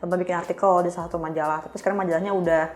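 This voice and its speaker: A woman's voice: fairly low pitch, fairly even intonation, rapid-fire, darkish tone. A young woman, chatting candidly and self-deprecatingly as she tells her own story as a podcast guest. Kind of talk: casual personal storytelling.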